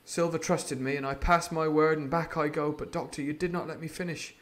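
A man voicing a frightened, earnest teenage cabin boy in a storybook reading, pleading and high-strung. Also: fairly even intonation, brisk, lightly acted.

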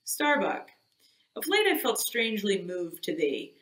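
A woman's voice: medium pitch, sing-song, measured pace, a bit bright. A woman reading an audiobook, voicing an obsessed old male sea captain who declaims with grim, imperious force, in an echoey room. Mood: commanding.

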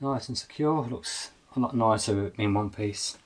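A man's voice: on the low side, unhurried. A man in his fifties with an Australian accent, narrating casually as he builds storage in a small workshop, practical and thinking aloud. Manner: practical, matter-of-fact, thinking aloud while working. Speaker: outdoors channel host.

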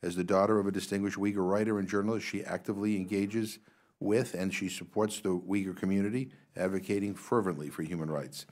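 Low-voiced Massachusetts man reading introductions. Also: steady tone, darkish tone. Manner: halting, filler-heavy reading, stumbles on names. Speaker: congressional commission co-chair. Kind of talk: reading formal introductions at a hearing. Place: large congressional hearing room, indoors. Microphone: desk microphone.

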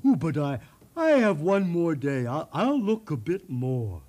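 A man voicing a bumbling, blustery young storybook prince who exclaims loudly and stammers. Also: a little high, big pitch swings. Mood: surprised, hesitant. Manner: loud, stammering, halting exclamations.

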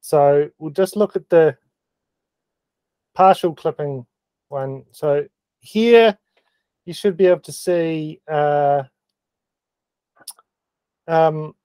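A man with a New Zealand accent casually explaining photo-editing experiments on a video call, halting with many ums and small self-deprecating laughs. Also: on the high side, warm tone, clean tone, no echo. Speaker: meeting participant presenting photo-editing experiments.

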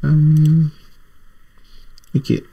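A man presenting an informal programming screencast, thinking aloud in a halting, repetitive way as he types. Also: fairly high pitch, monotone, vocal fry, warm tone, husky. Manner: thinking aloud while typing, halting, repeats himself. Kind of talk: informal screencast coding tutorial.

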